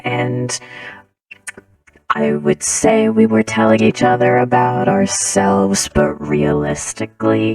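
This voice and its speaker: A woman tells a comic story in a casual way, her voice run through autotune. She speaks very slowly with a lively rise and fall and heavy fry. She sounds a little off the mic. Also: rumbling low, distant mic, rough recording. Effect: autotune voice changer. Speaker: streamer.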